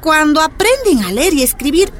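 A woman's voice: high-pitched, sing-song, moderate pace. An actress playing a stern, meddling, disapproving woman with a Bolivian accent in a radio soap opera. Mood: accusing.

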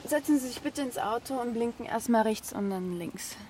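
An insistent traffic officer played by a woman speaks in a fairly high voice, formally polite but dryly needling. It is naturalistic radio drama with noise behind her. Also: moderate pace, mellow, close to an everyday voice. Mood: dry, commanding. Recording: noisy roadside scene.

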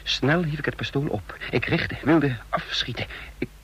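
A man's voice: on the high side, normal speed, a bit bright, slight background noise, close to an everyday voice. An actor playing a tense, wounded secret agent who narrates his own mission in a radio drama. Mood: tense, dramatic. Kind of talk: radio drama acting and first-person narration.

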